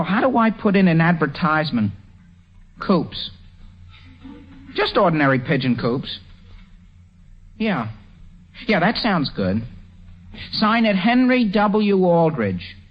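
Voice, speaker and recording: A man's voice: a little high, very animated, slow pace, long pauses, husky, exaggerated voice. An actor playing an earnest, scheming teenage boy with a hesitant stammer in a 1940s radio comedy. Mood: polite, hesitant. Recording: muffled old radio broadcast recording.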